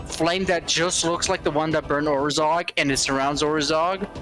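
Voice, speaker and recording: A man's voice: fairly high pitch, steady tone, moderate pace, a bit bright. A man chatting casually as a player in a tabletop roleplaying game, stammering a little and swearing now and then. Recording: music playing over the voice.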